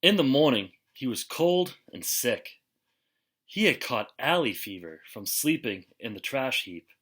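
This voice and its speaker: A man reading a children's picture book aloud expressively, with deliberate, dramatic emphasis and pauses. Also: medium pitch, sing-song, a bit bright, slightly rough. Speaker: storybook reader.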